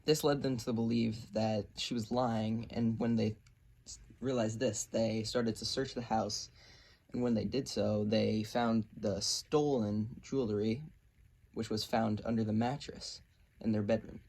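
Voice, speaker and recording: A man presenting a scripted question-and-answer narration, reading aloud a little haltingly. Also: fairly low pitch, steady tone, creaky, a little mellow. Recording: noisy amateur recording.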